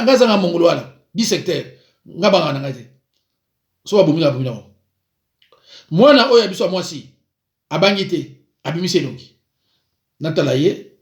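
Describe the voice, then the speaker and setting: High-voiced pastor in an echoey room. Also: male voice. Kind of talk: informal preaching talk.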